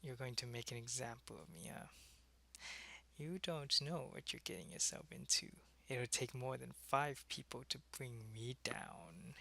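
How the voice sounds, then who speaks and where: A man's voice: medium pitch, fairly even intonation, a little slow, creaky, bright, forceful tone. A man playing a world-weary, lonely vampire who was once a human soldier, in an intimate, conversational ASMR roleplay. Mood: coolly defiant.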